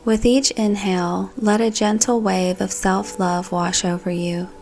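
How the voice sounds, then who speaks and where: A woman leads a guided meditation with a calm, soothing delivery. Her voice sits at a medium pitch and she speaks gently, as a guide reading prepared narration. Music plays faintly behind her. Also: measured pace. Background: background music.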